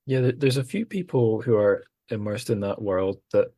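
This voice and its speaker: A man with a very low voice hosts a podcast episode as a casual group chat. He wanders through thoughtful asides. The sound is dry and close, with little room around it. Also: moderate pace, mellow, slightly rough, no echo. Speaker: podcast host.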